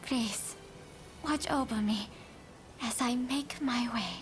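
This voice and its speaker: An actress playing a gentle, earnest samurai widow, speaking softly, formally and breathily in a dramatic game cutscene. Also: female voice, fairly high pitch, faint music behind. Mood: tender, grieving. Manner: soft, breathy, formal and measured.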